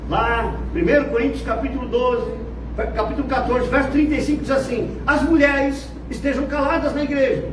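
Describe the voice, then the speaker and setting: A Brazilian man with a Brazilian Portuguese accent preaching a fervent sermon, dropping to low murmurs and rising to shouts. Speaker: pastor. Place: church. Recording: echoey, distant, noisy church hall.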